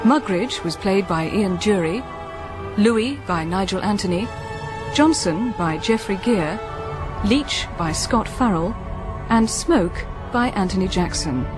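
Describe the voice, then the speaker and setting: A woman's voice: mid-range voice, lively intonation, slow. Woman radio announcer over prominent music. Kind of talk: scripted radio credits reading. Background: loud dramatic music.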